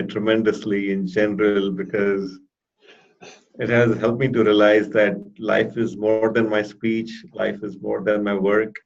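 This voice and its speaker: A man with a stutter giving a halting, deliberate personal testimonial as a therapy client in an interview. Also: fairly low pitch. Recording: dull lo-fi audio.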